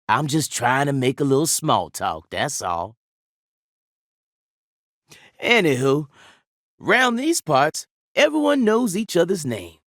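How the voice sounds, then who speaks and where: A man's voice: fairly high pitch, fairly bright tone, no room sound, clean studio sound. A man playing a folksy, jovial small-town sheriff in a video game, with a rambling Southern US drawl.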